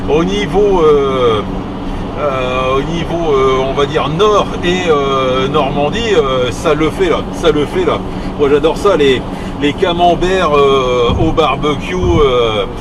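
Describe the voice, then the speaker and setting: A man's voice: fairly high pitch, far from the mic, noisy background. A man from northern France chatting casually over CB radio from his car, rambling with many fillers and restarts. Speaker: CB radio operator and video maker. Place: car on a road trip.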